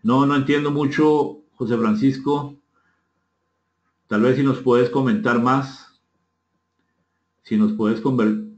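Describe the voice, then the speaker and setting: A Costa Rican man with a medium-pitched voice presents an interactive online seminar. He is courteous and conversational, often repeating phrases as he invites participants in. The sound is dull and echoey, as from a reverberant room. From Costa Rica. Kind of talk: interactive webinar talk, reading out chat comments. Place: indoor room, online session.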